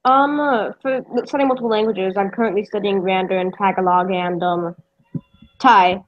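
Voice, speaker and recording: A woman's voice: mid-range voice, steady tone, moderate pace, dull audio. A woman taking part in an online language meetup who speaks hesitantly and searches for words. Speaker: meetup participant. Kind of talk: casual chat.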